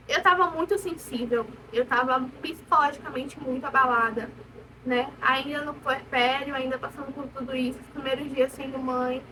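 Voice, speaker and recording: A young woman with a Brazilian accent telling a personal story in a candid, casual vlog style, conversational and emphatic. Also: high-pitched. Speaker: vlogger sharing motherhood experience. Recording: distant mic, noisy room ambience.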